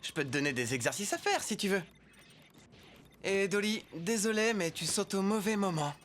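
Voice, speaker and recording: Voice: mid-range voice, sing-song, normal speed, fairly bright tone, a little hiss or hum. A performer playing a self-assured, know-it-all boy who advises friends in a French-dubbed cartoon. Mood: bossy but friendly.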